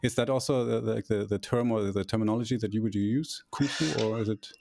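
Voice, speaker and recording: A male host puts long, halting questions to his guest and stumbles over repeated words as he goes. His voice is fairly low and has a gravelly, fry-heavy texture. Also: a little mellow, a little hiss or hum. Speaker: podcast host. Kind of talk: interviewer asking questions.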